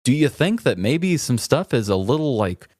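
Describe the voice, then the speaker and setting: A man's voice: medium pitch, sing-song, moderate pace, darkish tone, no room sound, studio quality. A man co-hosting a podcast, talking casually in an earnest, reflective, confessional way. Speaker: podcast co-host.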